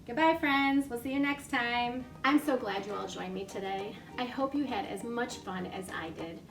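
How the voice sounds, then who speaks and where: A woman librarian reading a picture story aloud to young children from her home, animated and full of gasps. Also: on the high side, normal speed, recorded from a distance, music in the background. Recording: echoey room, voice off-mic.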